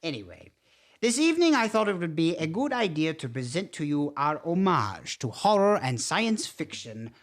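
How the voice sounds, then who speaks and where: A man's voice: a little high, big pitch swings, moderate pace. A man playing a genteel count and horror host, speaking with a theatrical, formal, grandiose manner. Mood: grandly theatrical.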